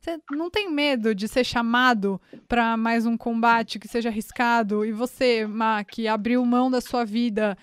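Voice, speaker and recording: A woman's voice: a little high, normal speed, few pauses, clean tone, no room sound, clean studio sound. A woman hosting a podcast in a friendly way, giving context and asking her guests questions. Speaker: podcast host.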